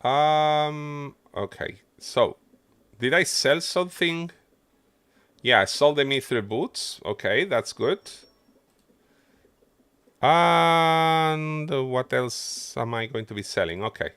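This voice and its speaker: Slow-talking man streaming a game. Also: fairly high pitch, animated, a bit bright, smooth, no room sound. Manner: muttering running commentary to himself while playing. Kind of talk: casual livestream gameplay commentary.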